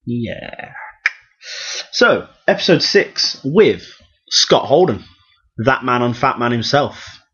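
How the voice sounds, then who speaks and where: A man with a hoarse, sore-throat voice, hosting a podcast in a chatty, enthusiastic, self-deprecating way. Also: mid-range voice, expressive, slow, long pauses, fairly bright tone. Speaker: podcast host. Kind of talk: chatty solo podcast intro and interview. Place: room at home. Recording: dull, lo-fi home podcast recording.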